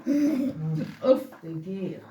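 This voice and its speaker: A woman reads a rhyming holiday story aloud in a playful, theatrical, drawn-out way. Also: medium pitch, very animated, mellow. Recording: roomy, distant mic, faint noise.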